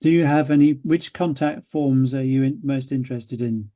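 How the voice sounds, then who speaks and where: A man hosting an online meetup on a video call, relaxed and chatty as he welcomes people. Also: medium pitch, moderate pace. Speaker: meetup organiser. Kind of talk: casual video call chat.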